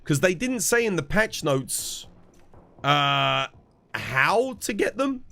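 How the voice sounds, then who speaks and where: A man's voice: a little high, big pitch swings, slow pace, vocal fry, pushed and bright. A man, stuffed up with a cold, casually chatting and answering viewers while streaming a game. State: congested, stuffed-up nose. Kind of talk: casual live-stream chat.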